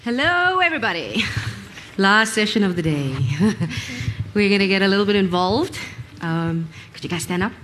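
A woman's voice: medium pitch, very animated, normal speed, a bit bright, slight background noise. A woman, upbeat and encouraging as she hosts a live audience session. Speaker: presenter, audience warm-up leader.

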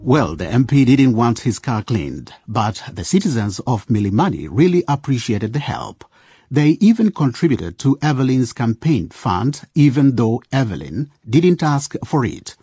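A man narrating a scripted radio drama in an expressive storytelling style. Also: mid-range voice, moderate pace, husky, dry recording, highs cut off. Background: music.

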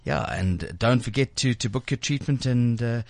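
A man's voice: medium pitch, moderate pace, no room sound. A South African man hosting a radio show, chatting informally and reading sponsor plugs in an effusive, jokey way, often repeating himself. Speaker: radio host. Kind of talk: informal chat and live sponsor reads.

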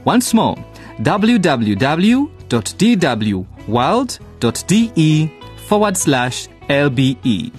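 A man's voice: a little high, big pitch swings, slow. A man presenting a radio series with scripted narration addressed to listeners. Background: light guitar music.

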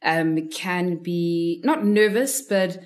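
A woman's voice: on the low side, slow. A woman hosting a casual interview, listening closely and affirming often. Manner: attentive, frequent affirming backchannels. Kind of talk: casual conversation.

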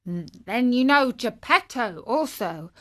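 A woman voicing a large, helpful male pigeon in a storybook, speaking in a measured, matter-of-fact, inquiring way. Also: a little high, expressive, sharp tone, no echo, studio quality, exaggerated voice. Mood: informative. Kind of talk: storybook character voice.

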